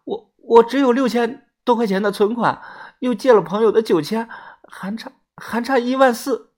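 A woman's voice: mid-range voice, warm tone, voice pushed up, natural voice. A storyteller voicing a timid, desperate young woman who stammers and pleads for help. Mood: anxious, hesitant. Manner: stammering, halting.

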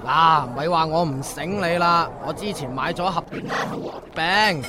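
An actor playing a jovial, chiding older family friend in a radio drama. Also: male voice, fairly high pitch, a little slow, noisy background, natural voice. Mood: chiding, generous. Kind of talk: Cantonese radio drama acting. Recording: busy banquet crowd behind the voice.

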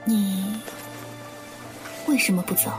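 Woman acting a composed young lady, medium-pitched. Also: slow, music in the background, natural voice. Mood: resigned. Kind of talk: audio drama acting in Mandarin.

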